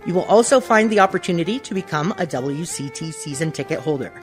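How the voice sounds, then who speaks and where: Low-voiced woman announcer over fiddle music. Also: animated, fast. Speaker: announcer closing a radio play. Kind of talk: scripted narration and credits. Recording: music playing under the voice.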